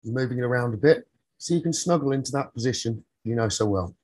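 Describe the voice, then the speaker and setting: A man leading a guided sleep meditation as a teacher, soothing and husky, speaking in short phrases with long pauses. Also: medium pitch, expressive, a little mellow.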